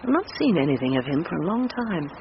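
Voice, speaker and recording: Blunt, animated forthright woman, muffled recording. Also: lowish voice, fast. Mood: exasperated, gossipy. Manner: blunt, wry, mildly swearing. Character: frank, forthright woman gossiping about an old friend. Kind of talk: radio drama acting. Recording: muffled, noisy radio drama recording.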